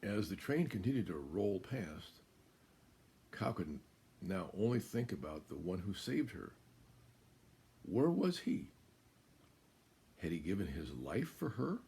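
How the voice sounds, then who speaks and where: An American man in his seventies reading a children's picture book aloud as a guest storyteller, in a deliberate, emphatic way. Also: sits low, sing-song, creaky, mellow. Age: 70s. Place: small room indoors.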